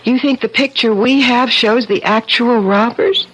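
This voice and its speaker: A woman's voice: fairly high pitch, measured pace, a bit bright. An actress playing an anxious, impatient young girlfriend, urgent and nagging, in a 1970s radio drama. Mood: doubtful, alarmed. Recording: muffled, noisy old radio recording.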